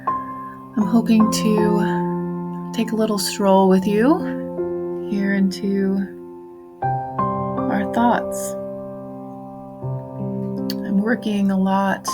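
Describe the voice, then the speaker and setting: A woman leading a guided meditation in a soft, breathy voice, speaking gently in short phrases with long pauses. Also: mid-range voice, little pitch movement, loud music behind the voice. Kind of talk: guided meditation on grief, slow and reflective.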